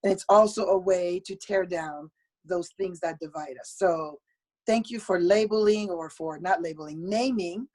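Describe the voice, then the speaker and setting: A woman from Haiti, a guest on a video call, sharing prepared remarks in a measured, polite way. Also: lowish voice, a little mellow, low-quality recording. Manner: measured, polite, speaks from notes. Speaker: meeting guest.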